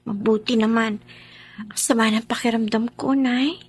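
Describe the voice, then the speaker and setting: A woman's voice: on the high side, steady tone, normal speed, slightly rough. A woman with a Filipino accent playing an emotive, wheedling young working-class daughter and sister in a radio drama. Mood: whiny.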